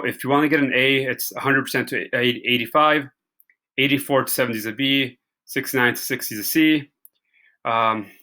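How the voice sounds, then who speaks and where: A man speaking as a high school teacher, giving an informal, plainly worded walkthrough directly to his students. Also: pushed and bright. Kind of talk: informal lesson walkthrough of a course syllabus.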